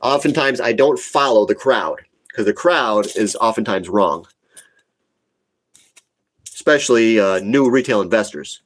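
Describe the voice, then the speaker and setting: A man's voice: fairly low pitch, a little slow, long pauses. A man of about forty with a cold, chatting casually on a livestream in a blunt, opinionated way and repeating himself for emphasis. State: sick with a cold, sniffling. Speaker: livestream host, retail investor. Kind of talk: casual livestream chat, answering viewer comments.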